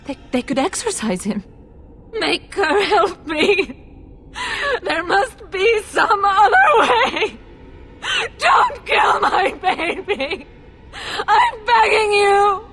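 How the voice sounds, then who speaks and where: A woman playing a desperate, grieving noblewoman and mother in a video game, pleading in a halting, emotional way. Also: high voice, expressive, faint music behind. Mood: desperate bargaining. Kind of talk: dramatic video game voice acting.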